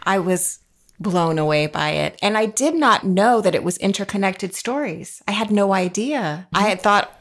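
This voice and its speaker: A woman's voice: medium pitch, animated, normal speed. A woman author co-hosting a podcast in a casual book chat, lingering appreciatively on descriptive words.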